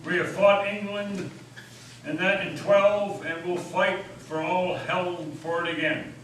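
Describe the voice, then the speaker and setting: Man acting a proud American orator, indignant, defiant, declamatory, slightly high voice, distant in a very echoey hall. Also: flat delivery, takes it slowly, a bit bright. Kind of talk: dramatic stage reading of a story. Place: meeting house. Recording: echoey hall, distant mic, faint noise.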